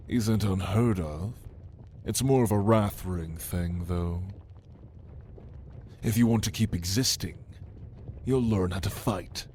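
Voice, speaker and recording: A man's voice: fairly low pitch, darkish tone, a little hiss or hum. A man playing a proud, commanding demon lord in an ASMR roleplay, speaking in a theatrical, menacing manner to the listener. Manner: slow, imperious, theatrical, menacing.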